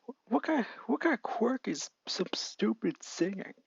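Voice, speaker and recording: A man's voice: sits high, lively intonation, measured pace, vocal fry, breathy edge, no echo, highs cut off, pitched above the performer's own voice, heavily acted. A man voicing an arrogant, hot-tempered young bully who sneers and taunts. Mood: sneering.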